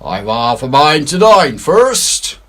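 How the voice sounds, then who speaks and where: Storybook pirate ringleader, a man performing, bold, emphatic, husky edge, slow salty drawl. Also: on the high side, very animated, fairly bright tone, pitched above the performer's own voice, full character voice. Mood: musing. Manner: salty dialect, emphatic and drawn-out. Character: bold, defiant pirate ringleader, shrewd and commanding.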